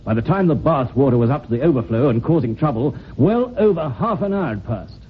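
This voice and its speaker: Male actor as measured, shrewd police inspector, radio mystery; dull vintage recording, clear hiss. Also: medium pitch, expressive, lightly acted. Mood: explaining. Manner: measured, wry, authoritative. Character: shrewd, unhurried police inspector explaining the case. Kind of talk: old-time radio drama acting.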